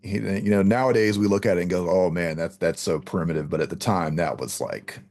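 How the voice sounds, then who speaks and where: A man's voice: low-pitched, a little fast, darkish tone. A man hosting an interview in casual chat, rambling and hesitant as he circles toward each question. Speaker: podcast host, interviewer.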